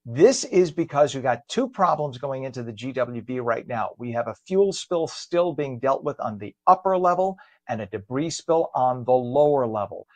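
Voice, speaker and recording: A man's voice: medium pitch, dry recording. A man, hosting a live morning show from a home studio, talking through traffic and introducing a guest in a chatty, conversational way. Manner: chatty and conversational, frequent fillers.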